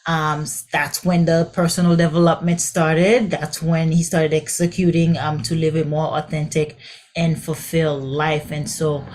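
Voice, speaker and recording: A woman from Haiti hosting a podcast interview, upbeat and encouraging. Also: low-pitched. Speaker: host.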